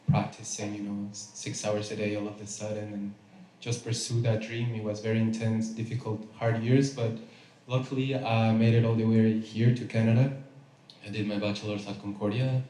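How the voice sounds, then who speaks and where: Man, low pitch, mellow, roomy echo, faint noise. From Mexico. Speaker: composer, panelist. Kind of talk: self-introduction at a conference. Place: conference room. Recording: echoey room with faint hum.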